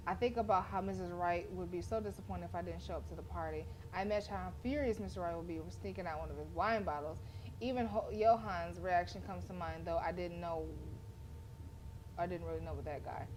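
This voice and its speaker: A woman game streamer reading story narration aloud in an expressive, dramatic way, with casual delivery between passages. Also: mid-range voice, a little hiss or hum, rough recording. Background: game music.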